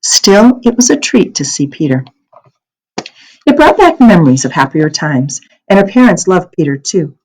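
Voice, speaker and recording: A woman narrates a children's novel aloud. Her delivery is expressive, with very animated pitch and a somewhat husky voice. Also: moderate pace, low-quality recording.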